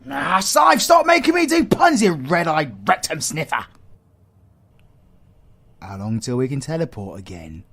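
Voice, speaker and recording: A man's voice: a little high, big pitch swings, unhurried, long pauses, a bit bright, husky, exaggerated voice. A British man playing a cocky, foul-mouthed supervillain in an audio roleplay, crude, teasing and sarcastic. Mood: outraged. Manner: crude, teasing, sarcastic, heavy slangy swearing. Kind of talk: audio roleplay acting addressed to the listener.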